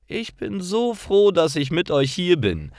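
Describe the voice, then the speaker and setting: A man voicing a cheerful, friendly young woman in a storybook, speaking eagerly and clearly. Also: high voice, very animated, measured pace, a little mellow, dry recording, clean studio sound, pitched above the performer's own voice, exaggerated voice.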